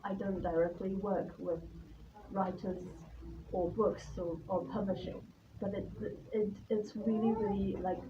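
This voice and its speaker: A woman from Taiwan gives an informal, personal talk in a nervous, hesitant, candid manner. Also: medium pitch. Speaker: artist-in-residence guest speaker. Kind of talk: informal spoken presentation about own life and work. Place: live talk at an art venue. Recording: distant mic, dull sound, faint background noise.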